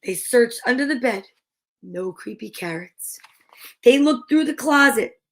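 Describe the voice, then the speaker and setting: A woman reading a children's picture book aloud in a theatrical, suspenseful, drawn-out way. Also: a little high, animated, background noise. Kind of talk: dramatic children's story read-aloud.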